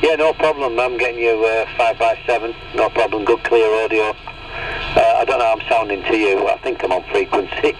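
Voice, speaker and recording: A man's voice: fairly low pitch, steady tone, brisk. A man calling in on CB radio with brief, casual exchanges. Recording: distant, very noisy CB radio transmission.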